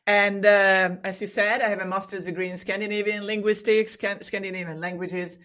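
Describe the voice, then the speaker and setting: A woman's voice: medium pitch, level tone, a little fast, a bit bright. Cheerful Hungarian-accented woman guest. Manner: cheerful, laughs often, chatty. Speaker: podcast guest, booking agency co-owner. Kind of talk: casual interview chat. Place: home in Cyprus.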